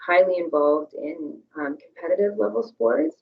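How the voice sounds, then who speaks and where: Hoarse-voiced mom on a far, dull call. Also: female voice, lowish voice, animated, moderate pace, a little hiss or hum. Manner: hesitant, candid, some repeated starts. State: voice going hoarse. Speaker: parent sharing her experience, tapping echo. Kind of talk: casual conversation, then repeating tapping phrases.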